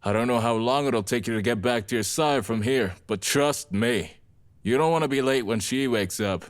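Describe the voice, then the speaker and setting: An actor playing a laid-back, friendly young vampire gang member in an audio drama, talking in casual slang. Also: male voice, medium pitch, normal speed, close to an everyday voice. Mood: friendly, easygoing.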